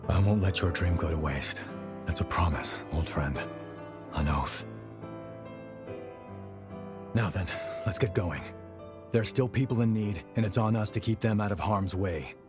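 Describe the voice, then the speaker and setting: A man voicing a world-weary, loyal warrior in a video game, talking in a measured, casual and earnest way. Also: deep voice, over music, lightly acted. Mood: reflective, resolute. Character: world-weary, loyal male revenant warrior searching for lost friend.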